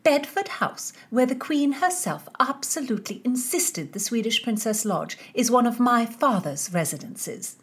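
A woman's voice: a little high, big pitch swings, a little slow, few pauses, husky, voice pushed up, full character voice. A woman voicing a snobbish young noblewoman with a haughty, clipped manner in an audiobook.

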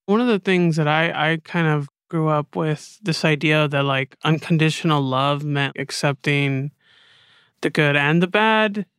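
A man's voice: fairly high pitch, unhurried, fairly bright tone, smooth, no room sound, studio quality. A man chatting casually and informally as a podcast host. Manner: informal, conversational. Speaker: podcast host and show creator. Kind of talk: casual chat.

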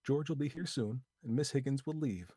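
A man's voice: medium pitch, normal speed, mellow, husky, no echo, clean studio sound, pitched above the performer's own voice, natural voice. A man reading aloud voices a bossy, scornful young woman who snaps and talks vulgar when angry. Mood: brisk.

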